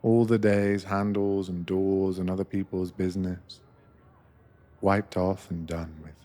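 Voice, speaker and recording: Male, low-pitched and very slow, for gentle ASMR roleplay as a soothing train attendant. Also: flat delivery. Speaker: narrator playing a sleeper train attendant. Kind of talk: ASMR guided meditation roleplay, scripted narration.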